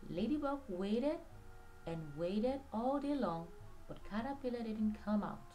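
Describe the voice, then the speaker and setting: A woman narrating a scripted children's read-along story in an expressive, drawn-out storytelling manner. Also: medium pitch, faint music behind.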